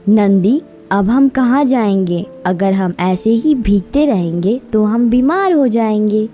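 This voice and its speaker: A woman's voice: mid-range voice, animated, takes it slowly, warm tone. A woman voicing a worried, innocent little female bird with a pleading manner in a children's cartoon story. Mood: anxious. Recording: music behind the voice.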